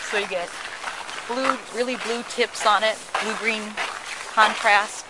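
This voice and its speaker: A woman leads a casual garden tour, explaining plants with real enthusiasm. Her voice sits at an ordinary middle pitch. She is picked up outdoors on a handheld camera mic with clear background noise. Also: steady tone, measured pace, a loud crowd. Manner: informative, enthusiastic about plants. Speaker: nursery guide. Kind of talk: casual explanatory walk-and-talk. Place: outdoor nursery display garden.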